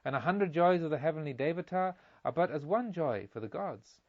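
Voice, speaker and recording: A man voicing a proud young merchant pilgrim in a storybook, gruff and slightly tremulous, arguing insistently. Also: a little high, lively intonation, fairly quick, dull audio. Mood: earnest, rising. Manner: insistent, argumentative.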